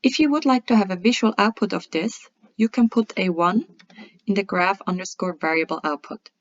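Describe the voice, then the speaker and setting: A woman presenting a scripted software tutorial in a methodical, step-by-step instructional way. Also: medium pitch, moderate pace. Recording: dull lo-fi voice-over.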